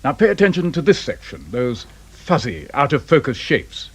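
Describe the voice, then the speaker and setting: A man's voice: medium pitch, big pitch swings, normal speed, slightly rough. An actor playing a wry, sarcastic male investigator with a pedantic, teasing manner and a British accent in a radio drama. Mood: wry, instructive.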